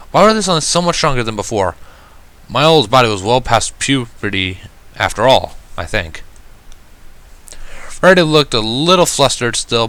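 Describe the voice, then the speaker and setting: A man reading fan fiction aloud as expressive first-person story narration. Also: on the low side, clean tone.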